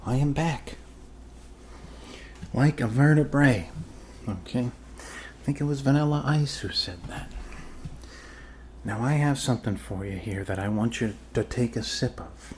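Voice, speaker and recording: A man doing an improvised ASMR role-play as a calm, quirky doctor, speaking haltingly and reassuringly to a patient. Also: mid-range voice, a little hiss or hum. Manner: halting, reassuring, trailing off with fillers and pauses. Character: calm, quirky male doctor treating a zombie bite.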